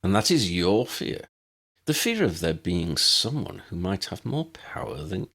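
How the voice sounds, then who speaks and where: A man voicing an erudite, unflappable old wizard in a fantasy audiobook, measured and pedantic with a needling edge. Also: deep voice, sing-song, a bit bright, full character voice. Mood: calm, needling. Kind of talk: fan-fiction audiobook character voice.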